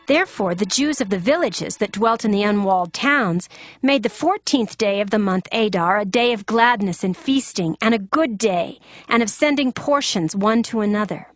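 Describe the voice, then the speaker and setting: A woman's voice: mid-range voice, animated, normal speed, no room sound, clean studio sound. Solemn woman narrating scripture over music. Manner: formal, solemn storytelling. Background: background music.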